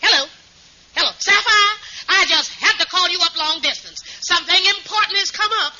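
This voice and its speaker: A woman's voice: very high voice, brisk, bright, forceful tone, soft background music, heavily acted. An actress playing a fierce, suspicious older mother-in-law who scolds and accuses in an old radio sitcom. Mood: indignant. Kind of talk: 1940s-50s radio sitcom acting. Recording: muffled, noisy vintage radio recording.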